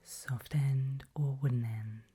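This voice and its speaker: A woman whispering in a breathy voice, playing a polite, calmly creepy medical examiner in an ASMR roleplay. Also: extremely low pitch, slow pace. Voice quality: breathy, mostly unvoiced whisper.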